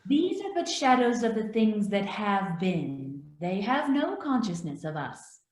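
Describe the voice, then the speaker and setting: A woman playing a calm, probing spirit guide in a radio play, speaking in a measured, solemn, gently questioning way. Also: medium pitch. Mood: calm, knowing. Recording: roomy, echoing voice.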